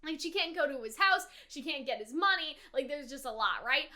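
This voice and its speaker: A woman's voice: sits high, lively intonation, fairly quick, pushed and bright. A woman hosting an anime reaction video, chatting casually and animatedly, sometimes acting out lines as asides. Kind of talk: casual chatty episode review.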